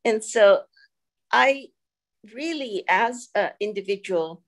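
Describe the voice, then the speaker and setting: An older woman from Singapore, giving a reflective presentation over a video call, pausing often with fillers. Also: medium pitch, expressive. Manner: reflective, halting with many fillers. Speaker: guest speaker.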